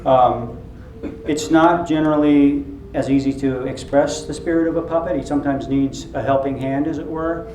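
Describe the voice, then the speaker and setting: A man playing a proud, sincere puppeteer who speaks haltingly and earnestly in a live comedy sketch. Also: on the high side, fairly even intonation, crowd noise, natural voice. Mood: solemn, earnest. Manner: earnest, self-serious, halting. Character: proud, sincere male puppeteer, fussy about his craft. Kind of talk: live comedy sketch, mock question-and-answer. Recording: echoey room, clearly noisy live recording.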